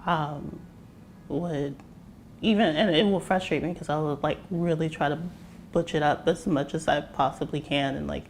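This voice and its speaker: A guest assigned male at birth, with a high-pitched voice, answering an interview in a hesitant way full of fillers. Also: steady tone, normal speed, slight background noise. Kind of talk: candid conversational interview answer.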